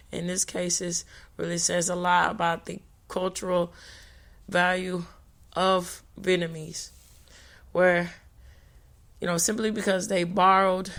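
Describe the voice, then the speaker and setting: A woman's voice: lowish voice, a bit bright. A woman podcast host, reading notes for a language talk, often stumbling and restarting on hard words. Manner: halting, with restarts on hard words and brief apologies.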